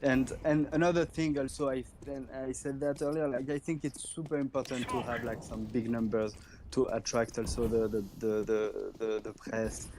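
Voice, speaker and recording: Male auction specialist, monotone, stop-start phrasing, noisy line. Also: mid-range voice. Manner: halting, many "like" fillers and restarts. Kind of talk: live audio chat. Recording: noisy stream audio.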